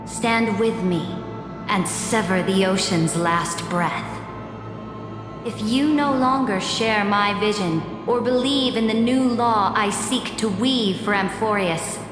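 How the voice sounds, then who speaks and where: A woman playing a ruthless, proud imperator in a video game, speaking gravely and deliberately like a cold, resolute ruler. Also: mid-range voice, close to an everyday voice. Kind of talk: dramatic scripted video game acting. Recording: echoey hall reverb under game music.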